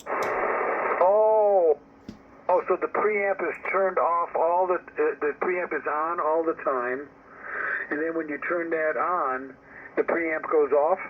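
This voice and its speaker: Tinny, higher-pitched man over noisy radio. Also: fairly even intonation, slow. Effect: radio transmission. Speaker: amateur radio operator. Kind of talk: casual technical chat. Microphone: heard over a radio link. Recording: tinny narrowband radio with static noise.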